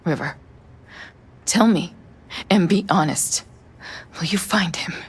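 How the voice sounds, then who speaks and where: An actress playing a worn-down, bitter widowed mother of three in a video game, her voice low and husky. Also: slow, exaggerated voice. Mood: anxious, pleading.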